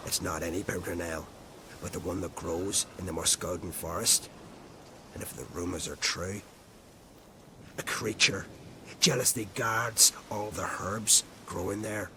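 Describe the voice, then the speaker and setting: A crotchety old druid from a fantasy game, low in pitch and full of testy condescension. His phrases trail into breathy whispers, and there is clear noise behind him. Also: steady tone, a little slow, bright, forceful tone, exaggerated voice. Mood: testy, impatient. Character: crotchety, haughty old male druid and alchemist. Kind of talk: scripted video game acting.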